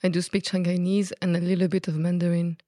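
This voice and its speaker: A woman's voice: on the low side, flat delivery, a little fast, dark tone, dry recording, studio quality. A woman from Belgium, a native French speaker with a Belgian French accent, explaining things candidly as a podcast guest in casual conversation.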